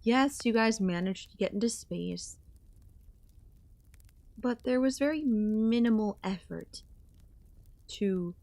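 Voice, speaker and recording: A woman voicing an ancient, possessive alien queen in an ASMR audio roleplay, speaking with soft, teasing menace and drawn-out pauses. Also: on the high side, gravelly, dry recording. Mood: solemn, regal. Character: ancient yandere alien queen, last of her kind, condescendingly affectionate.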